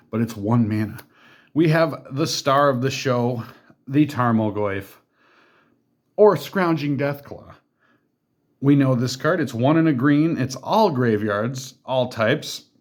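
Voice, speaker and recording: A man in a casual deck walkthrough who reads card text aloud, then chats loosely about each card, with fillers and asides along the way. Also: mid-range voice. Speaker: card game deck reviewer.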